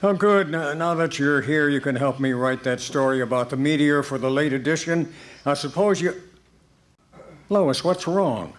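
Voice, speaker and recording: A man's voice: a little high, normal speed, slight background noise, lightly acted. A man playing a flustered, evasive, mild-mannered newspaper reporter in an old-time radio drama. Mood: puzzled.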